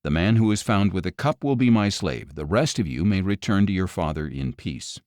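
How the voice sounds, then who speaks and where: A man's voice: low-pitched, lively intonation, normal speed, a little mellow, no room sound, studio quality. A man reading as a stern, commanding lord. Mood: firm. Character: commanding, accusing male lord of high rank.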